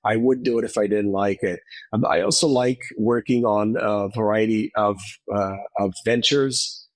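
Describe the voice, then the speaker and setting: A man's voice: lowish voice, normal speed, no echo. A man from Israel, a dermatologist guest, answering in a hesitant way with many fillers and restarts. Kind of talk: interview answers.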